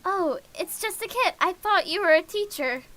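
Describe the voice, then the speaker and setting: Shy young schoolgirl for a visual novel, high and bright, timid and gentle played by a woman. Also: animated, moderate pace. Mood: shy, hushed. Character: shy plant-loving schoolgirl skipping class. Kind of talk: visual novel character read aloud.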